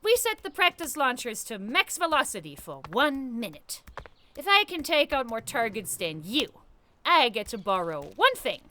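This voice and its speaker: A woman voicing a brash, scheming young girl cadet in an animated comic dub, with an expressive, wheedling manner. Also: a little high, normal speed, a little hiss or hum. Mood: bargaining. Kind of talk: animated fandub comic voice acting.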